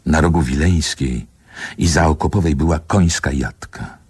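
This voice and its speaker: An actor playing a wistful older man who narrates his childhood in a solemn, poetic radio drama monologue, with a gravelly, husky voice. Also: extremely low pitch, a little mellow. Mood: sardonic. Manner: deliberate, solemn, poetic delivery.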